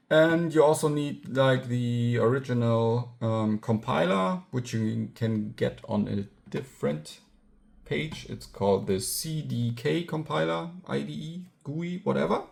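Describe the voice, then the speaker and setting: A man's voice: medium pitch, creaky, a little mellow. A man giving a casual hands-on tech tutorial, thinking aloud as he demonstrates. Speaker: electronics hacker presenting own project.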